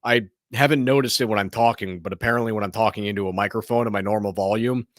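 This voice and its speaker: Slightly hoarse man giving sports takes. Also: fairly low pitch, fairly even intonation, fast talker, no room sound, clean studio sound. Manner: rambling and opinionated, frequent restarts. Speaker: sports show host. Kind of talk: casual opinionated sports commentary.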